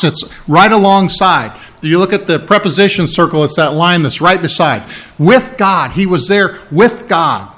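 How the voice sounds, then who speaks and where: A middle-aged man preaching a teaching sermon, explaining scripture with asides and humor and slowing down to stress key phrases. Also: sits high, a bit bright. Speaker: pastor.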